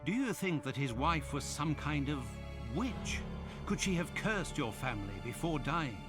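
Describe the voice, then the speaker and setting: A sceptical gentleman companion in a detective game. The voice is slightly high and very varied in melody. He is courteous, formal and wry, over clearly audible music. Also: lively intonation, measured pace. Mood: concerned, questioning. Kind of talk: video game cutscene acting. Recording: loud music under the voice.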